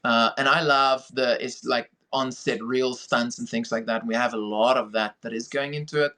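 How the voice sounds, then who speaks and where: A man's voice: mid-range voice, fairly even intonation, normal speed, pushed and bright. A man with a South African accent giving conversational interview answers as a filmmaker guest on a podcast.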